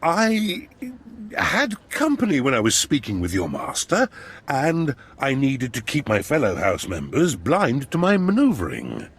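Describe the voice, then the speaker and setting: An actor playing a fawning, cowardly noble schemer in a video game, with a husky voice and theatrical, ingratiating delivery. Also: male voice, medium pitch, wildly swooping pitch, unhurried, fairly bright tone, exaggerated voice. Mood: smug, ingratiating. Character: oily, cowardly male noble schemer, fawning and self-serving. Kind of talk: cinematic game voice acting.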